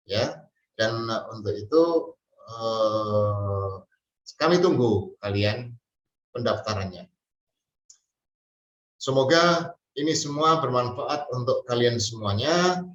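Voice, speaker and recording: A man's voice: medium pitch, a bit bright. Man teaching in a roomy echo. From Central Java, Indonesia. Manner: slow, hesitant, with many fillers and drawn-out pauses. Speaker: Arabic teacher. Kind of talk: spoken language lesson. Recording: distant mic, roomy and dull sound.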